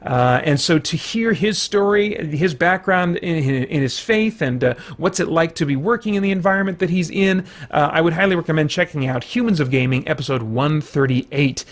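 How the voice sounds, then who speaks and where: A man's voice: a little high, normal speed, hardly any pauses. A man hosting a podcast, running through community news in a casual, unscripted way, thinking aloud as he goes.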